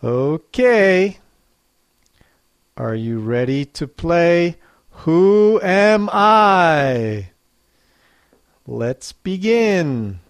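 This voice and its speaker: A teacher running a guessing quiz for learners, reading each clue slowly and clearly, then repeating it. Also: mid-range voice, no echo, clean studio sound. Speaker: English teacher. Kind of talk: slow quiz dictation for learners.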